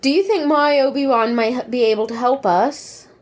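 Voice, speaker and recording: A woman acts a young noblewoman expecting a baby in an audio drama spoof. Her voice is fairly high, and she speaks as a loving, anxious partner. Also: normal speed, close to an everyday voice. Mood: worried, tender.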